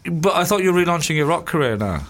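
A man hosting an interview, bantering with his guest and teasing her with quick jokes. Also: on the high side, expressive, a bit bright. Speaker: interviewer host. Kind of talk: casual interview chat.

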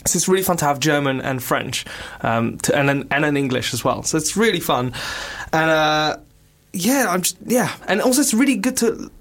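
A man's voice: fairly high pitch, animated, normal speed, fairly bright tone. Chatty, slightly hesitant male comedy guest. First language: Dutch. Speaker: comedian guest on radio show. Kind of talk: casual radio chat.

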